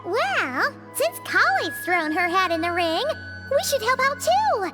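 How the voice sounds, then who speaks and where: Perky childlike fairy sidekick in a video game; squeaky, very animated delivery, sky-high pitch. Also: female voice, slow pace, over loud music, full character voice. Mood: curious, chipper. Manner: excitable, refers to self in third person. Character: tiny, perky, childlike female floating fairy companion.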